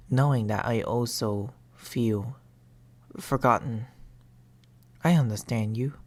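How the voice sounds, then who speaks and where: A woman voicing a patient, mournful male sleep paralysis demon in a hushed, formal ASMR roleplay, often whispering close to a binaural microphone. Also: rumbling low, full character voice. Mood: tender, solemn.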